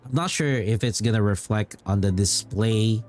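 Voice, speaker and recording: A man streams casually about games with music playing behind him. His talk rambles along in an informal way, and he often repeats a phrase to drive it home. His voice sits at an ordinary mid-range pitch for a man. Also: normal speed, a little mellow. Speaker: game streamer.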